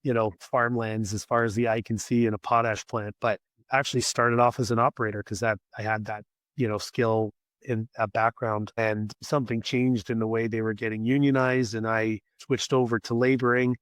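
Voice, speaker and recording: Raspy Canadian man, dry close sound. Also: mid-range voice, little pitch movement, dry recording. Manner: halting, repeats words as he thinks aloud. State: losing his voice. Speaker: podcast guest, scaffolding company executive. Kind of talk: conversational interview.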